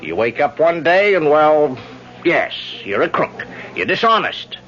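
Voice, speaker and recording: An old, cynical politician who sounds folksy and chuckles often. His voice sits fairly high and swings widely in pitch. The broadcast sound is dull and vintage. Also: big pitch swings, measured pace, a bit bright. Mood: wistful. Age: older. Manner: folksy, chuckling, world-weary. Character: aging male congressman, charming, cynical, self-mocking. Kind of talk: 1970s radio drama acting.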